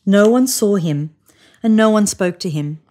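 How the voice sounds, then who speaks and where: A woman in her thirties narrating a children's picture book in an expressive read-aloud style. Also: mid-range voice, unhurried. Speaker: storybook reader. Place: indoors, on a sofa in a room.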